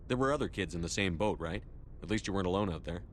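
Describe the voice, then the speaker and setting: Actor as brisk, steady space commander. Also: male voice, deep voice, slight background noise, lightly acted. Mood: curious, calm. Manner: direct, composed, inquiring. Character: steady, approachable male military commander in space. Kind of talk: video game dialogue acting.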